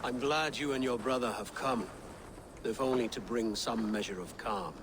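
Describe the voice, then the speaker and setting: An actor playing an earnest Saxon nobleman in a game cutscene, speaking in a measured, formal, worried manner. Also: mid-range voice, noisy background, close to an everyday voice. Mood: uneasy. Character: anxious, earnest Saxon nobleman and claimant king. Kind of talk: video game cutscene acting.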